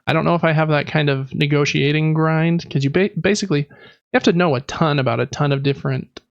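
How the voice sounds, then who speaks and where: A man's voice: fairly high pitch, fast, vocal fry, no room sound, highs cut off. An American man in his thirties chatting casually as a podcast co-host, rambling along with self-deprecating humor. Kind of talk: casual chat.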